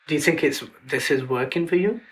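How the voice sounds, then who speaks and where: The interviewer gently asks questions to keep the guests talking. The delivery is soft and steady, and the pitch sits in the middle. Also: fairly quick.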